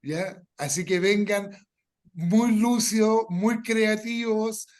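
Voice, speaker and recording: A Chilean man with a notably high voice hosts an informal workshop. He repeats himself as he explains and often adds little tag questions to check that people follow. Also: steady tone, takes it slowly, darkish tone. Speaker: workshop host.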